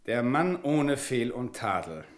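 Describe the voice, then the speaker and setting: A man's voice: medium pitch, sing-song, slow pace. A man playing a cynical, cowardly, resentful bank employee in a radio play, with an aggrieved and insolent manner. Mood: aggrieved, mocking.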